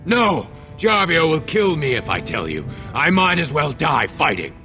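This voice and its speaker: An actor playing a brash, cowardly dwarf thug in a fantasy video game, rough, blustering and gravelly. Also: male voice, on the high side, sing-song, measured pace, bright, forceful tone, heavily acted. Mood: panicked. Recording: game music behind the voice.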